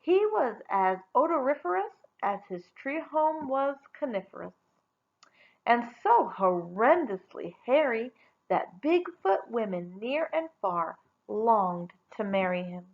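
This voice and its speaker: Female storybook reader, highish and very expressive, deliberate delivery, slightly muffled audio. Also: gravelly. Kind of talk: expressive children's read-aloud narration.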